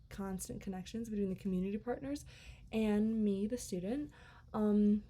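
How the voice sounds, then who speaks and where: A woman's voice: medium pitch, warm tone. A young woman, an incoming college student, reading out a class presentation in an earnest way with many "um" fillers. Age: young adult.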